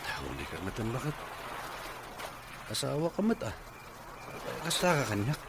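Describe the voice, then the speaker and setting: An actor with a Filipino Ilocano accent playing a sullen, work-shy, complaining husband in a radio drama. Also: male voice, medium pitch, wildly swooping pitch, normal speed. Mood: grumbling. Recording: noisy radio drama recording.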